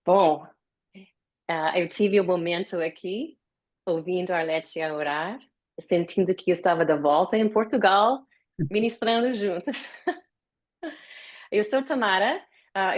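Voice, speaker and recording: A woman from the United States gives a live online talk in a language she learned later, pausing often with many fillers. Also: on the low side. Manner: hesitant, with many fillers and pauses. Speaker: guest speaker. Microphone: video call.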